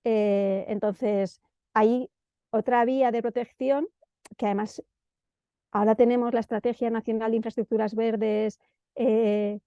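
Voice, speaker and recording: Female presenter, central Spanish accent. Dark, relaxed tone, informal delivery with searching pauses and repeated words. Dry, slightly lo-fi sound. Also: fairly high pitch, smooth, no room sound. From Madrid, Spain. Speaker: presenter of an urban planning guide. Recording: dull, lo-fi dry audio.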